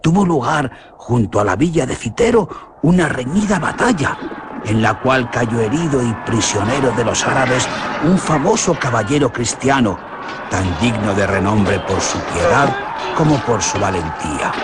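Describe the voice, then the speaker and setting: Expressive Spanish-accented male radio narrator. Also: mid-range voice, normal speed, heavy background noise. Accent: Spain. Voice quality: expressive, theatrical. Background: music.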